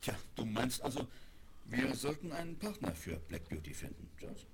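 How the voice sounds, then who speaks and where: An actor playing a kindly, considered middle-aged gentleman father in a seventies radio play on noisy vinyl. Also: medium pitch, very animated, normal speed, creaky. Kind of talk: 1970s German radio play acting. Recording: loudly crackling 1970s vinyl record, clinking tableware.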